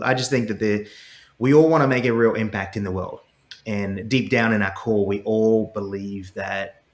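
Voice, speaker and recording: An earnest middle-aged Australian man with a lowish voice talks with conviction as an interview guest. He restarts his phrases and repeats words as he builds up each thought. His voice carries some echo from the room. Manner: passionate, repeats words when starting sentences. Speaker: guest, startup CEO.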